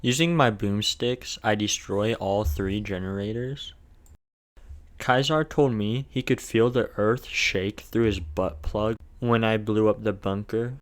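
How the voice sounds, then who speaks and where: A man's voice: fairly low pitch, measured pace. A man with a lisp narrating a video game challenge run in a casual, crude, jokey way.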